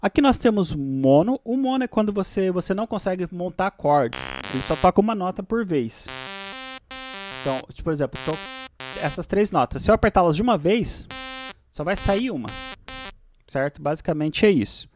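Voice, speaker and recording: A man with a Brazilian accent presenting a software tutorial, switching between read-out explanations and casual asides. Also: sits high, expressive, normal speed, over music.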